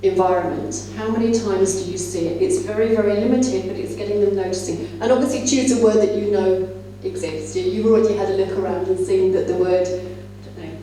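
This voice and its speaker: A very echoey, distant recording of a woman presenting to teachers in a large room, with faint noise behind her. Her voice is soft-edged and mellow. She explains in a conversational, instructive way, as a plenary speaker at a conference. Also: medium pitch, steady tone, a little fast, few pauses. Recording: echoey hall, distant mic, faint hum.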